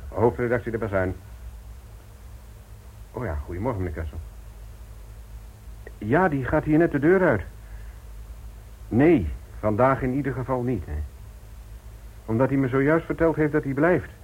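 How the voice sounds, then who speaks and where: Husky, cynical newspaper editor. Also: male voice, medium pitch, lively intonation, moderate pace, long pauses, narrow, tinny audio. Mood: obliging. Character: cynical, jovial small-town newspaper editor, a man. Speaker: actor in a radio play. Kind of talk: Dutch radio drama acting.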